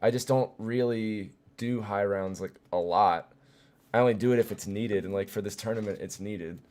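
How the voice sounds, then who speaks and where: A young man with a hoarse, fading voice chatting casually while streaming a game, greeting viewers and filling pauses with ums and likes. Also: fairly low pitch, a little mellow. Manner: loose and chatty, many fillers, greets viewers. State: hoarse, losing his voice. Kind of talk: casual gaming livestream chat.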